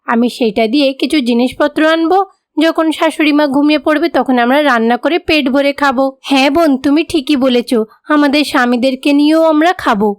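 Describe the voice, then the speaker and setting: Casting: young Bengali daughter-in-law for cartoon, woman performer, high-pitched, meek, considerate and politely pleading. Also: moderate pace, close to an everyday voice. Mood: earnest, plaintive. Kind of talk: moral-story cartoon voice acting.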